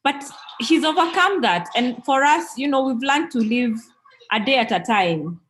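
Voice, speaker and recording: A woman's voice: sits high, lively intonation, measured pace, fairly bright tone, slight background noise, low-quality recording. A woman in her thirties from Kenya, a parent interviewed on a podcast, telling her story earnestly with frequent ums. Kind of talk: conversational storytelling.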